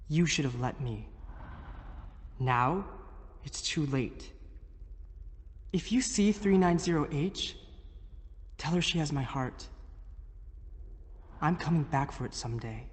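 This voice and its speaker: A man playing a lovelorn, regretful man leaving a farewell message, speaking in a halting, wistful way. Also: on the high side, animated. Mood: regretful, tender. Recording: long hall-like reverb.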